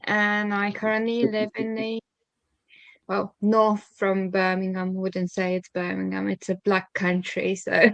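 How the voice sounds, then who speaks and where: A woman's voice: medium pitch, completely flat delivery, measured pace, gravelly, no room sound, low-quality recording. A woman chatting casually in English. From Poland. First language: Polish. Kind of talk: casual chat.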